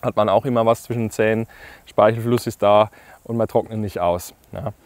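A man's voice: lowish voice, a little fast, dry recording. Chatty German man outdoors, dry sound. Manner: relaxed, chatty, frequent fillers, addresses viewers directly. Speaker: paragliding instructor. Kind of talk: casual tips to camera. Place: grassy meadow outdoors, hills behind. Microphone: lapel mic.

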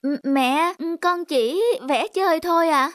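A woman voicing a timid, obedient teenage student in an animated drama. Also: high-pitched, slow, over music. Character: meek, dutiful teenage student who likes drawing.

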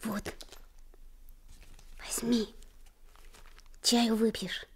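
A kind, curious little boy in a radio play. The voice is high and mellow, speaking slowly with earnest innocence. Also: level tone, husky, exaggerated voice. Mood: caring. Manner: innocent, earnest, simple questions. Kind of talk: Soviet radio drama acting.

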